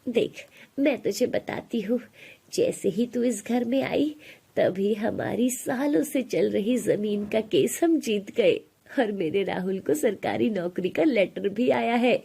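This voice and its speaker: Woman acting a doting older mother-in-law, breathy. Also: medium pitch, takes it slowly, mellow, full character voice. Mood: delighted, doting. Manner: affectionate, praising.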